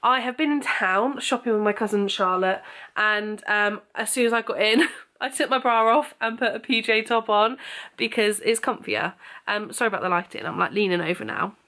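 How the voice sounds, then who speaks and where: A woman's voice: mid-range voice, moderate pace, pushed and bright. Chatty young woman vlogging at home. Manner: rambling, lots of ums and likes. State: a bit sniffly after losing her voice. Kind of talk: casual chatty vlog. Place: bedroom and small kitchen at home. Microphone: camera held close at arm's length.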